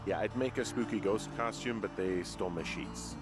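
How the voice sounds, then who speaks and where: An actor voicing an easygoing American fire lookout in a video game, conversational, joking and deadpan. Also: male voice, on the low side, normal speed, lightly acted. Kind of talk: naturalistic video game dialogue. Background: faint background music.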